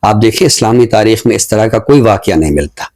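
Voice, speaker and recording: A man giving thoughtful, earnest commentary on a talk show in a measured, phrase-by-phrase way. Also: on the low side, animated, darkish tone.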